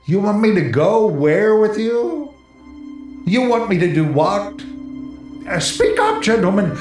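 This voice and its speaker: A man's voice: high voice, big pitch swings, a little mellow, heavily acted. Echoey, frightened radio disc jockey coming unraveled, acted by a man. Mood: hysterical, giddy. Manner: halting, stammering, talking to his listeners. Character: frightened male disc jockey unraveling inside a haunted house. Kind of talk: dramatic radio play acting. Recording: echoey, distant, music underneath.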